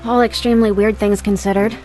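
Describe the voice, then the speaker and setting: A woman's voice: mid-range voice, flat delivery, a little fast, darkish tone, soft background music, close to an everyday voice, poor audio. A woman playing a dry, plain-spoken academic caught up in ghostly events in a video game. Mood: composed.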